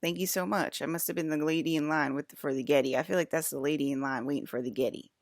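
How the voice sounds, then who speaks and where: Gravelly fifty-something woman ranting. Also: low voice, fairly even intonation, quick pace, dry recording, clean studio sound. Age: 50s. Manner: confrontational, profane, rambling with many fillers. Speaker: video blogger. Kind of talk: rambling monologue to camera.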